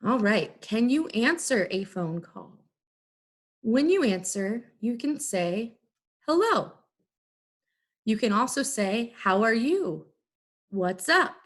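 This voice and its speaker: A woman teacher giving an instructional lesson, explaining things in clear, simple, step-by-step phrases. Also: on the high side, big pitch swings, slow pace, pauses often. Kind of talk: instructional video lesson for students.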